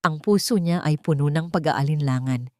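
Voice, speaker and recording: A woman with a fairly low voice tells a dramatic romance story in a steady, scripted way. The recording is close and dry, with no room sound. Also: normal speed, no echo. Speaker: narrator.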